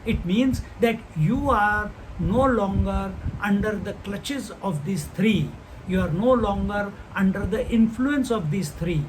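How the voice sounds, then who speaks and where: Older male spiritual teacher, high-pitched, unhurried questioning delivery. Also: background noise. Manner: unhurried, posing rhetorical questions; chants Sanskrit verses slowly. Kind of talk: scripture class talk.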